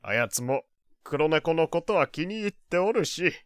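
A kindly, self-important old gentleman for a radio drama. His fairly high voice rises and falls freely in old-fashioned, avuncular phrases. The sound is dry. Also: animated, a little slow, no room sound. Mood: genial. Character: genial old gentleman, pompous but kindly family man. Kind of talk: Japanese audio drama acting.

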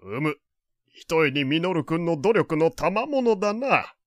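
A man's voice: a little high, very animated, measured pace, no room sound, studio quality. An actor playing a teasing, wealthy old man who speaks in an archaic, grandly courteous way in an audio drama. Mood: self-satisfied. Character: elderly male wealthy patron, genteel, teasing, old-fashioned. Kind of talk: Japanese audio drama acting.

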